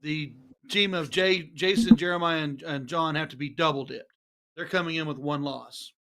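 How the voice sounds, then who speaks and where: 50s guy doing darts commentary, bright edgy voice, laughs off his name flubs. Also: on the high side, normal speed, slight background noise. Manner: stumbling over names, self-deprecating.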